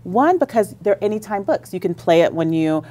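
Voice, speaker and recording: A woman's voice: mid-range voice, big pitch swings, fairly quick, warm tone. A woman on a radio interview, explaining at length with enthusiasm about library services. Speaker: school district library leader.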